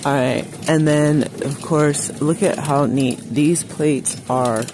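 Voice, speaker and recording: A woman's voice: low-pitched, steady tone, takes it slowly. A woman narrating a casual shop-with-me video, chatting to viewers and reading out prices as she browses a store. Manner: chatty, reads out prices, addresses viewers as you guys. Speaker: shopping vlogger. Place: large retail store, indoors. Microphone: phone held while filming, speaker off camera. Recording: phone audio with clear store noise.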